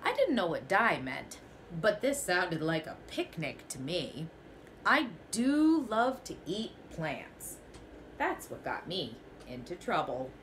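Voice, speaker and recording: A woman's voice: on the low side, wildly swooping pitch, slow pace, fairly bright tone, a little hiss or hum. A young woman teacher reading a children's picture book aloud in a small bedroom, telling it expressively in the first person.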